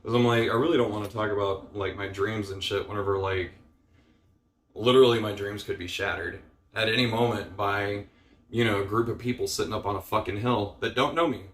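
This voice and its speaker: A man's voice: fairly low pitch, steady tone, fairly quick, a bit bright. Weary man rambling in an echoey room. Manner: rambling, many fillers, frustrated and weary; swears casually. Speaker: vlogger. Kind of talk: casual personal vlog rant.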